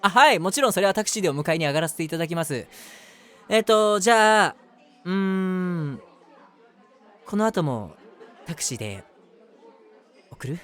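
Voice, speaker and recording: A man acting a lively, high-voiced lovestruck young guy. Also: moderate pace, long pauses, some chatter behind. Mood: flustered, eager. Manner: chatty, self-deprecating, earnest, hesitant asides. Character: eager, lovestruck, easily flustered young man, eldest brother. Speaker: voice actor. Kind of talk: Japanese romantic audio drama monologue.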